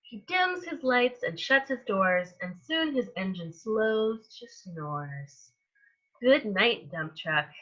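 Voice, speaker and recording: Animated, bright-voiced woman reading to children. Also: medium pitch, muffled recording. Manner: animated, with drawn-out words and hushed endings. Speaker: children's storytime reader. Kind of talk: expressive picture-book read-aloud for young children.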